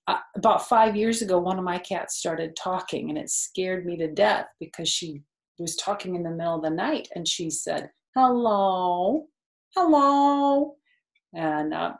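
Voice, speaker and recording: A middle-aged woman with a fairly low voice hosts storytime from a room at home. She reads aloud and puts simple questions to children, with audible room echo. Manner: simple explanations with questions to young listeners. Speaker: storytime host. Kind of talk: reading a children's book aloud, explaining to children. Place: small furnished room at home, indoors. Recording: roomy, echoey home recording.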